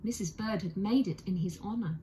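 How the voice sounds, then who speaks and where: A woman's voice: mid-range voice, a little fast, warm tone, a little hiss or hum, rough recording. A woman narrating a children's bedtime story expressively, as a storyteller.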